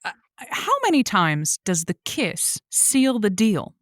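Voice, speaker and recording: A woman playing a folksy, diplomatic radio advice host who gently counsels callers on a comedy show. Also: mid-range voice, sing-song, slow, no room sound, studio quality. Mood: tactful, hesitant.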